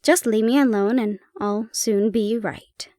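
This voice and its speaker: A woman reading as a brisk clever detective. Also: on the high side, fairly even intonation, warm tone, dry recording, clean studio sound, natural voice. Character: clever eccentric male detective, frank and brisk.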